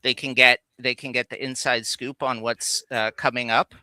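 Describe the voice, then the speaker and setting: A man with a very bright, punchy voice that comes through clean and crisp, close and dry. He co-hosts a livestream in a casual, enthusiastic, rambling way. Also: medium pitch, little pitch movement, normal speed, dry recording. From Canada. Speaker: co-host of a geek culture livestream. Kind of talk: casual chat, showing off finds.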